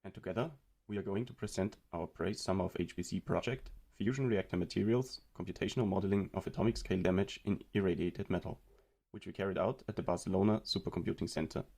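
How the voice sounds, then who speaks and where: A young man from Austria giving a read-aloud presentation of a student research project in a steady explanatory way. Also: low voice, fast talker, slightly rough.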